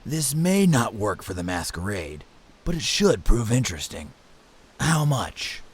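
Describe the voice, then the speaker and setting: A man's voice: on the low side, very animated, measured pace, husky, exaggerated voice. An actor playing a curious, earnest young man of mixed blood in a fantasy audio drama. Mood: intrigued.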